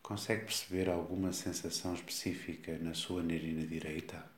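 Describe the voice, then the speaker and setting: A man with a European Portuguese accent guides a body scan meditation, giving slow, calm instructions with long pauses. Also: low voice. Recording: echoey, reverberant room sound.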